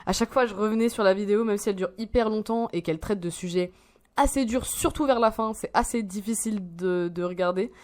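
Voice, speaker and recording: A woman's voice: mid-range voice, quick pace, a little mellow. A woman in her twenties, rambling and swearing as she reacts to a video in casual slang. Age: 20s.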